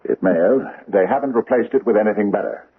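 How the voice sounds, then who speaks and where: An actor playing an aging, pompous judge with hesitant, formal phrasing in an old-time radio drama. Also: male voice, fairly low pitch, fast, husky. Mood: grumbling, dry. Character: aging pompous judge, ponderous, secretive and grumbling. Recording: muffled, band-limited vintage radio recording.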